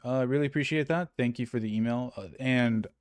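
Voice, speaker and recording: A man's voice: mid-range voice, a little fast, clear, resonant tone, dry recording. A man co-hosting a casual gaming podcast, reading listener emails in a rambling, self-correcting way and chuckling often.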